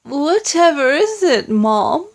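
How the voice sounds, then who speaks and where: A woman's voice: high voice, very animated, slow, pitched above the performer's own voice, heavily acted. A woman reading aloud voices a timid older maid who talks in a respectful, stammering way. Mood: soothing.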